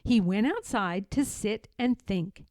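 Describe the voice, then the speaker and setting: A woman reading a children's story aloud in a dramatic, deliberate way, stressing key words. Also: mid-range voice, expressive, a little mellow, no room sound, clean studio sound. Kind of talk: children's read-aloud narration.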